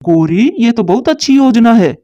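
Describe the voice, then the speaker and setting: A man voicing a sly, flattering crow uncle in a children's cartoon, eager and wheedling, in a muffled lo-fi dub. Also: extremely high pitch, normal speed, no echo. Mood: eager scheming. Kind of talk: Hindi children's cartoon dubbing.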